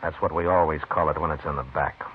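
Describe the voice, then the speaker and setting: An actor playing a stern, world-weary Old West marshal in a 1950s radio drama, terse, deliberate and authoritative. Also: male voice, extremely low pitch, fairly even intonation, a little hiss or hum. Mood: stern, accusing. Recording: muffled 1950s radio recording with music.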